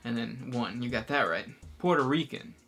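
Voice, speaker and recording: A man's voice: mid-range voice, lively intonation, moderate pace, vocal fry, a bit bright, breathy edge. A man co-hosting a casual chat, laid-back and joking, trailing off with ums. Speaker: co-host.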